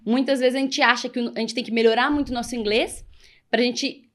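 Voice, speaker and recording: A woman's voice: a little high, fairly even intonation, fairly quick. A woman hosting an interview podcast, encouraging, who frames long questions from her own experience. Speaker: podcast host. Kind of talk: casual interview conversation.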